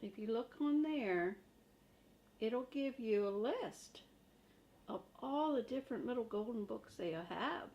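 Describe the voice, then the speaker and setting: An older woman reading a children's book aloud, chatting to young listeners in simple, gentle phrases. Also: on the high side, expressive, takes it slowly, lo-fi sound. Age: older, a grandmother.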